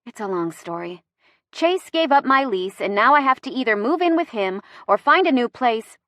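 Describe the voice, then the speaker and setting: A woman's voice: fairly high pitch, animated, normal speed, a bit bright, no echo. A woman voicing a harried, defensive young woman driver, urgent and exasperated, in an audiobook.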